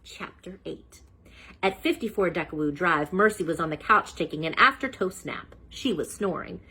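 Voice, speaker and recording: A woman storyteller reading a children's book aloud in an animated style. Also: fairly low pitch, moderate pace, slightly rough, low-quality recording.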